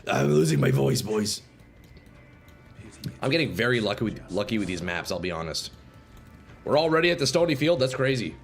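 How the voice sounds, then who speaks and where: A man streaming a game live, chatting casually with viewers, swearing and reacting loudly, his voice going a little hoarse. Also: lowish voice, very animated, moderate pace, long pauses. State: slightly hoarse, losing voice. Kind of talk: casual live-stream chat while playing. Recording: faint game music behind.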